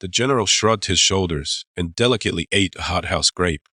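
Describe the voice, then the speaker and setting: Deep voiced guy narrating an audiobook, suspenseful, measured, bright punchy edge. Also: breathy edge, no room sound, studio quality.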